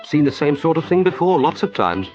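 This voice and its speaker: A man acts out a small, timid mole from a children's storybook, pitched high and swooping. He sounds plaintive, polite and easily put out, with music behind him. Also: sing-song, brisk, dull audio. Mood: indignant.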